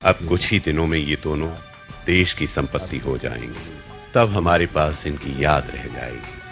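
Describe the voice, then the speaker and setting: An actor playing a grave, caring revolutionary comrade in a radio drama, speaking solemnly. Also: male voice, very deep voice, moderate pace, fairly bright tone, faint music behind.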